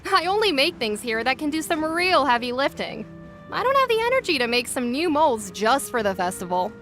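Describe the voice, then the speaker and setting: Breezy blunt gunsmith shop girl, high voice that goes all over, video game, bit of music. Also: fairly quick. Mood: blunt, indignant. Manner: casual, animated, blunt. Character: breezy, no-nonsense female gunsmith shopkeeper. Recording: faint music behind.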